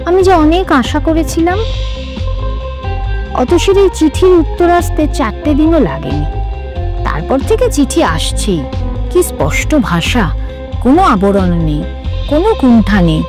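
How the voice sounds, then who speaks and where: High-voiced, expressive woman narrating a story. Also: unhurried, long pauses. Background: music.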